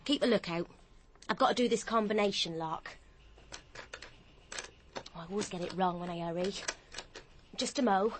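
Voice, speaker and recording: An actress playing a nervous, gullible English office clerk with a flustered, colloquial manner in a radio drama. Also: female voice, medium pitch, normal speed, long pauses, background noise, lightly acted. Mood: flustered, anxious.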